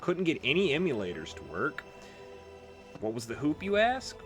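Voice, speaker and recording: A man's voice: on the high side, huge pitch swings, moderate pace. A man hosting a gaming video, giving casual, chatty let's play commentary with conversational asides. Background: game music.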